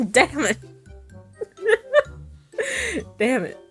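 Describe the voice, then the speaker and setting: High-pitched, giggly woman flubbing lines. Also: huge pitch swings, very slow, fairly bright tone, soft background music. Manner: giggly, self-mocking, swearing. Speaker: amateur voice actor. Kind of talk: recording session outtakes, flubbing and retaking lines.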